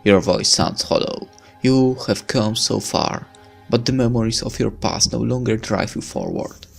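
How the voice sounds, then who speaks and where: A man's voice: fairly low pitch, measured pace, gravelly, soft background music. A man reading a visual novel aloud as narration, breaking into casual commentary as a let's player.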